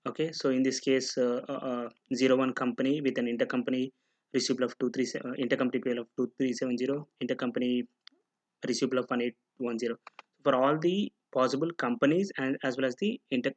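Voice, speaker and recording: A man narrates a software tutorial as an instructor, explaining step by step and often posing questions that he then answers. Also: mid-range voice, steady tone, fast, no room sound, dull audio. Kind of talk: screen-recorded tutorial narration. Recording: noticeable background noise with clicking.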